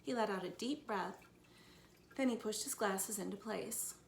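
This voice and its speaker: Creaky-voiced woman reading to young children. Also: medium pitch, unhurried. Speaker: teacher reading to first graders. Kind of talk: children's storybook read-aloud. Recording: echoey room, background noise.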